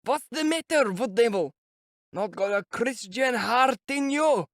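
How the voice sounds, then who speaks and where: A man doing an indignant old man, high and reproachful. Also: lively intonation, unhurried, fairly bright tone, no room sound, studio quality, heavily acted.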